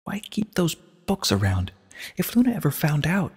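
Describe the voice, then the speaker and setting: A man voicing a distressed young female pony student in a dramatic story reading, hushed, halting and pleading. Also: a little high, wildly swooping pitch, breathy edge, in a large hall, voice pushed up, exaggerated voice. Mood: pleading denial.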